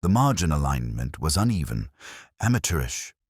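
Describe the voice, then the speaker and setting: A man narrating a scripted mystery story in a measured, literary manner. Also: rumbling low, big pitch swings, slightly rough, no echo, clean studio sound.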